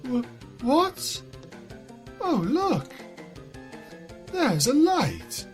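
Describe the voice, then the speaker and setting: A woman voicing a melodramatic dying cupcake in a cartoon fan story, consoling and solemn, speaking haltingly. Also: high voice, huge pitch swings, a little mellow, soft background music, voice pushed up, heavily acted. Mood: fading, wistful.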